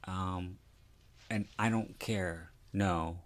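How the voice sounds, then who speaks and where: A man from Philadelphia with a South Philly accent, giving a casual, rambling and halting film commentary full of candid asides. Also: deep voice, steady tone. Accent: South Philadelphia American. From Philadelphia, United States. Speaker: podcast host.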